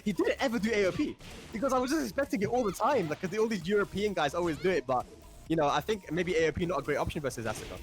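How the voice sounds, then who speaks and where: A man's voice: high-pitched, expressive, racing. A man giving chatty, enthusiastic live commentary on a fighting-game tournament, often laughing along. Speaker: esports commentator.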